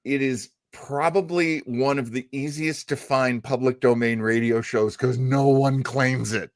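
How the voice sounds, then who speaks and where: A man hosting a radio show in casual chat, talking in a rambling, halting way. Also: medium pitch, steady tone, no room sound, clean studio sound. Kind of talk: casual chat introducing old radio shows.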